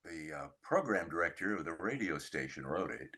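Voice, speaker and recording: An older man interviewing conversationally and telling stories, with deliberate, dramatic pauses. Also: deep voice, expressive, pushed and bright, breathy edge.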